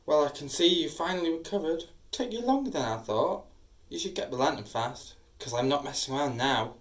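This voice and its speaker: A cruel, mocking ancient demon acted by a woman in an audio drama. The voice sits low and swoops theatrically through its threats and taunts. It rings with noticeable room echo. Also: lively intonation, fairly quick. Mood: threatening. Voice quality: menacing, theatrical delivery. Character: cruel, taunting ancient male demon possessing a young man.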